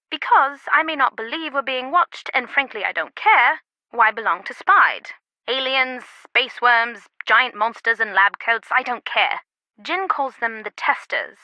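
A woman playing an earnest, slightly guarded and loyal confidante in a video game, speaking in a measured way. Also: fairly high pitch, pushed and bright, dry recording, rough recording. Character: earnest, secretive female phone confidante, loyal and concerned.

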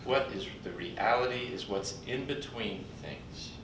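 Older man at a podium, echoey and distant. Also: expressive. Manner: folksy, warm-humored, halting with pauses. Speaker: conference speaker introducing a colleague. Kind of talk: speaking at a podium. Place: large conference hall, indoors. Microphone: podium mic, recorded from afar by a computer. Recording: distant echoey hall recording with background rumble.

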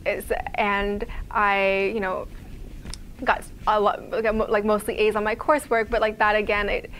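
A young woman from Quebec, Canada, gives a relaxed talk about her startup in a small office lounge. Her pitch stays level and unvaried, and she often pauses on "like" and "um". A faint hiss sits under the room sound. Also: poor audio. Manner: conversational, many fillers like "like" and "um". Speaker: guest speaker, startup founder. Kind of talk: casual talk to a small audience.

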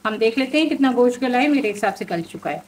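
A woman's voice: fairly high pitch, expressive, fast, husky. A woman, hosting a home cooking show and explaining each step in a chatty way with many fillers. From Pakistan.

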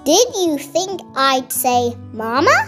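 Slow-reading little girl with swooping pitch. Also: sing-song, music in the background. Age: young child. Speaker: child host reading a picture book aloud. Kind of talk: children's read-aloud storytelling.

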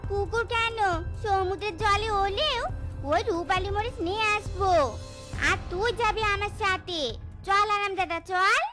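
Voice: very high-pitched, fairly even intonation, measured pace. A performer voicing a bossy, hot-tempered, scheming wife in a cartoon, melodramatic and scolding. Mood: bossy, angry. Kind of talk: cartoon voice acting. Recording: music behind the voice.

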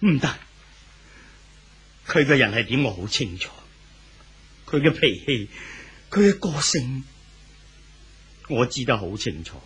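An actor playing an anxious, clinging older husband in a 1970s radio drama, pleading and insistent, with a Hong Kong Cantonese accent. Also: male voice, medium pitch, big pitch swings, unhurried, long pauses, a bit bright, slightly rough, full character voice. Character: anxious older husband clinging desperately to his unfaithful wife.

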